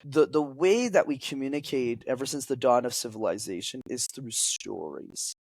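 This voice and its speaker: Young man, French first language, public speaking coach, energetic, teacherly, middle pitch, dry close sound. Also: moderate pace, vocal fry, no echo. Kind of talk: podcast interview guest.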